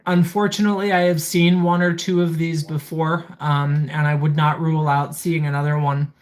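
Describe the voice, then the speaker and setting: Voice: medium pitch, flat delivery, normal speed. A reviewer hosting a casual live product walkthrough, methodically reading items out and commenting on them. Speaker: livestream host reviewing gadgets.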